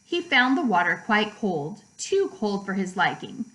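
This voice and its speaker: A woman narrating a children's book aloud like a teacher, with measured, deliberate phrasing for young listeners. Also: mid-range voice, big pitch swings, fairly bright tone, rough recording. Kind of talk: storybook read-aloud narration.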